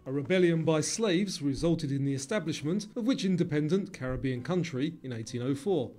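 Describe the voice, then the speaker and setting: Higher-voiced man reading quiz narration over music. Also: lively intonation, warm tone. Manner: measured, formal question reading.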